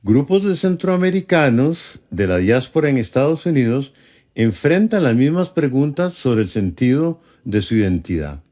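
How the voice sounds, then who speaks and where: A man narrating a documentary script with an expressive delivery. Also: medium pitch, moderate pace.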